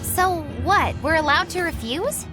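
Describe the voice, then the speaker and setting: A woman's voice: very high-pitched, animated, measured pace. A woman playing a flippant, girlish self-styled witch in a video game, with a playful, mock-dramatic delivery. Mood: sardonic. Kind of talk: English video game dub acting. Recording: loud music behind voice.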